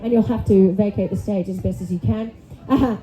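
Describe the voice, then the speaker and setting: A woman's voice: mid-range voice, steady tone, fairly quick, music in the background, low-quality recording. A woman hosting a live comedy show from a club stage on a handheld mic, chatty and upbeat, often tagging lines with "okay?". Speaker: host. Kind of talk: upbeat live emcee patter.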